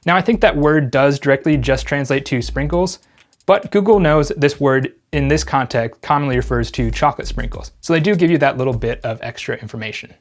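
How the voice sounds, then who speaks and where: A man hosting a tech review, calmly explaining test results in scripted narration. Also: mid-range voice, a little fast. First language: English. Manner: calm, explanatory, even-handed. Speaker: gadget reviewer host.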